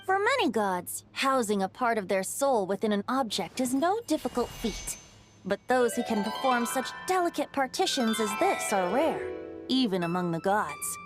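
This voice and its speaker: High, lilting young male bard. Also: sing-song, moderate pace. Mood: storytelling. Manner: lilting, theatrical storytelling. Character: playful young male bard god, theatrical storyteller. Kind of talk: video game voice acting. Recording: music under the voice.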